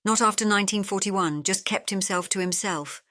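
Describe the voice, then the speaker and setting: A woman's voice: mid-range voice, normal speed, a bit bright, no echo, studio quality, lightly acted. A woman playing a watchful landlady in an audio drama, matter-of-fact and clipped, with a faintly knowing air. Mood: guarded, knowing. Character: brisk, watchful English landlady of an old block.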